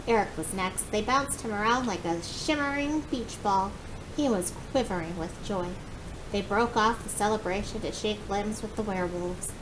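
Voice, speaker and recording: Expressive woman storyteller over noisy background. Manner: expressive, acts out dialogue. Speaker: read-aloud storyteller. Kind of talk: animated children's book narration. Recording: clear background noise with low rumble.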